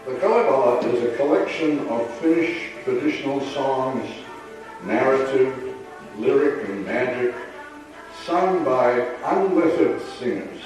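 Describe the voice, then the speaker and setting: A man presenting and introducing a program, giving measured explanations. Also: mid-range voice, a little mellow. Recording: echoey room, distant mic.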